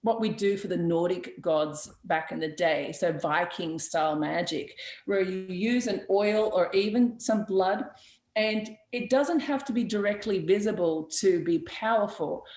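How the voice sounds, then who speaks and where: A woman's voice: lowish voice, a little slow, a little mellow. A woman hosting a witchcraft talk show, answering viewer questions in a blunt, chatty way with frequent laughs, fillers and restarts. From Australia. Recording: roomy echo.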